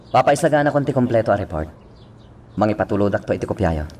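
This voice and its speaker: A man's voice: on the low side, lively intonation, quick pace, darkish tone, slight background noise, natural voice. A man acting an official, authoritative police chief in a radio drama. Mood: formal, reporting. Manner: formal, authoritative.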